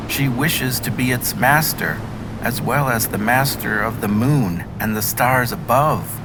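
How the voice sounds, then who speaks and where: A man's voice: medium pitch, a little slow, background noise, voice pushed up, close to an everyday voice. A man voicing a meek, humble old fisherman in a storybook, earnest and deferential in his pleading. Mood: loud pleading.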